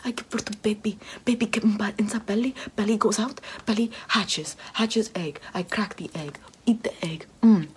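A woman voicing a smug, egg-laying, baby-eating vampire mother in a breathy whisper with broken baby talk and lots of repetition. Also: medium pitch, moderate pace, hardly any pauses, a little mellow. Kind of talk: whispered comic roleplay.

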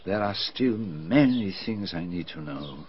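An elderly foreign detective in a radio play, with a lowish voice that rises and falls expressively. He speaks formally and precisely, politely probing, on a dull recording with some hiss. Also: expressive. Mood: inquisitive. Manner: formal, measured, exact diction. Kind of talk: radio drama acting.

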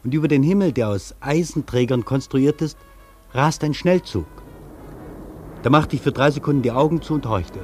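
A male actor playing a reflective traveller, delivering a literary radio monologue in a measured, poetic, declamatory way. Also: mid-range voice, faint music behind, lightly acted. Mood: vivid, wry. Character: reflective male traveller marvelling at a vast foreign city. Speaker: actor reading a traveller's first-person account in a radio feature.

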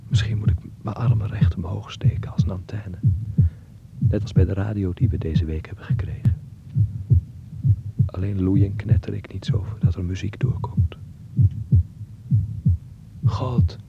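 A man acting a sick, frightened, earnest teenage boy in a radio play, speaking in a halting, intimate, creaky half-whisper. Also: low-pitched, steady tone. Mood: frightened, pleading. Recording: muffled old radio play recording.

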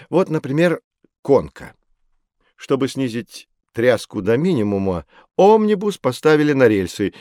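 An actor playing a knowledgeable, patient mentor who explains things fondly to children in an educational audio drama. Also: male voice, mid-range voice, a little mellow, no echo, studio quality, natural voice. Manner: didactic, addressing children fondly.

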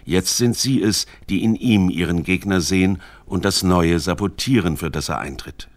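A man narrating a scripted radio documentary in a measured, formal, articulate way. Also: low voice, no room sound.

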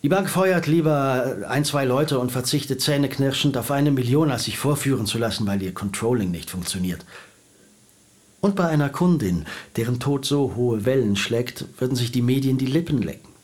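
Man voicing a precise Swiss lawyer, measured legal phrasing. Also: mid-range voice, a little mellow, close to an everyday voice. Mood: formal. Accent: Swiss German. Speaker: lawyer reading a will. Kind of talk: radio drama acting.

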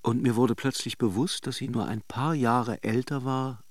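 An actor playing a worldly, ironic middle-aged man who recalls an affair in a radio drama, with deliberate hesitations. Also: medium pitch, fairly even intonation, no room sound, natural voice. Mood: reflective. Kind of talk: radio drama monologue, narrated as a confession.

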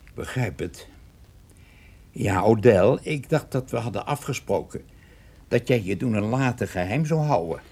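An actor playing a suspicious, insinuating drug investigator in a radio drama, questioning in a needling way. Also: male voice, lowish voice, lively intonation, normal speed, creaky, pauses often, darkish tone, slightly rough, exaggerated voice. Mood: businesslike. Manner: insinuating, interrogating.

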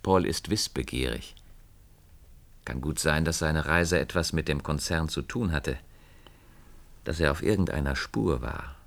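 A man's voice: extremely low pitch, a little slow, creaky, long pauses, heavily acted. An actor in a German science fiction radio play, playing an earnest, inquisitive company man with a thoughtful, probing manner.

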